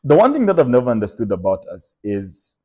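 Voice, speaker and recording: A young man from Zambia, a comedian guest telling stories in a relaxed podcast chat, with lots of fillers and laughter. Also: medium pitch, fairly quick, breathy edge. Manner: storytelling, laughs often, many fillers.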